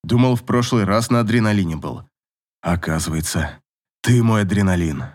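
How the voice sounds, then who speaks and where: The domineering man in a late-night romance audiobook, as a male narrator acts him, pinning his beloved down with low, insistent, authoritative orders. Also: normal speed, darkish tone, natural voice. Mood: tender. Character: possessive, domineering male lover, commanding and evasive.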